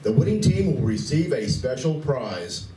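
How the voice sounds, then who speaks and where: A man's voice: mid-range voice, sing-song, moderate pace, warm tone, natural voice. A man playing a cheerful game coordinator in a radio play, announcing and giving play-by-play. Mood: upbeat announcing. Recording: distant, echoey room with faint noise.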